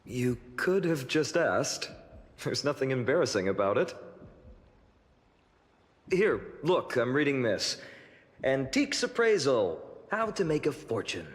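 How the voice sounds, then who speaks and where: A man's voice: mid-range voice, sing-song, measured pace, pauses often. A man playing a genial, talkative, teasing young scholar-adventurer in a video game, with a theatrical conversational manner. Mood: teasing, amused. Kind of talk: video game character acting. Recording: studio voice with long reverb.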